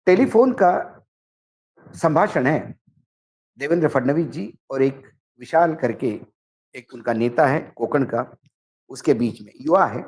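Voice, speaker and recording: Male commentator, medium pitch, political analysis, deliberate short phrases, emphatic storytelling delivery. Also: expressive, darkish tone. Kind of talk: political commentary, narrating and translating a reported conversation.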